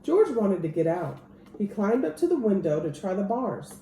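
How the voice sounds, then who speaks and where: Lady reading a kids book aloud, super expressive, soft mellow tone, roomy echo w some noise. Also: medium pitch, normal speed. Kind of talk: expressive children's picture book read-aloud.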